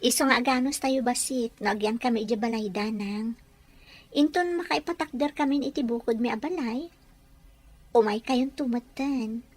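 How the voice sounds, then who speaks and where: A woman's voice: a little high, natural voice. A woman playing a sweet, fussing fiancée. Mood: earnest. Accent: Ilocano. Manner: sweet, fussing, quick and playful. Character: affectionate young bride-to-be.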